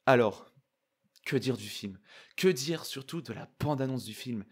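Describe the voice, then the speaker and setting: A man giving casual, excitable, slangy reaction commentary. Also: medium pitch, unhurried, breathy edge. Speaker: YouTuber reacting to and analysing a trailer.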